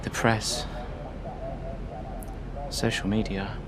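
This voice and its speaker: An unsure young racing driver, soft-spoken and hesitant, his voice sitting a little low. Loud noise presses in behind him in the game scene. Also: flat delivery, drawn-out words. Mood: uncertain. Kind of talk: video game cutscene acting.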